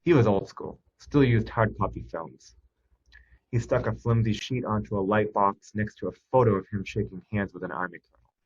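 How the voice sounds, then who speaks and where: A man with a husky voice reads a suspense short story aloud in a tense, expressive first-person style. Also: lowish voice, normal speed, dull audio. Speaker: story reader. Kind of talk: dramatic scripted narration of a suspense short story.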